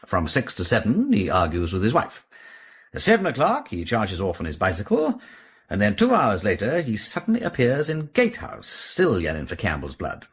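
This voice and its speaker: An upper-class English gentleman detective for radio drama. His voice is husky and very animated in pitch. He is urbane and inquisitive, thinking out loud with clipped, polished phrasing. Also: moderate pace, full character voice. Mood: analytical. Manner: urbane, musing aloud, clipped aristocratic phrasing. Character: suave aristocratic English gentleman sleuth, inquisitive and quick-witted.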